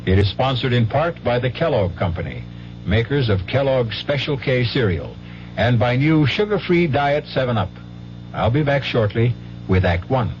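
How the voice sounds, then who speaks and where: A man hosting and narrating a 1970s radio mystery with deliberate, ominous theatricality. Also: mid-range voice, very animated, a little hiss or hum. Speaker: host and narrator. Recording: dull 1970s AM radio broadcast. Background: loud dramatic music.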